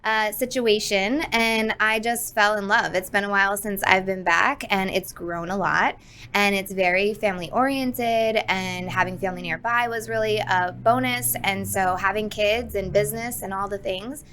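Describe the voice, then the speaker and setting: A woman's voice: mid-range voice, steady tone, moderate pace, a little hiss or hum. A woman in her thirties, born in Texas and raised in the Northeast US, chatting as a podcast guest in a friendly, easygoing way. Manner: friendly, warm, often laughing, uses fillers. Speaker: guest, hairstylist and entrepreneur. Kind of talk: casual interview chat.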